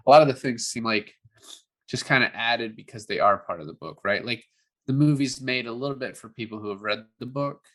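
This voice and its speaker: A man's voice: mid-range voice, a bit bright. A man co-hosting a podcast in a casual film review chat, hedging as he works through his thoughts. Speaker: podcast co-host.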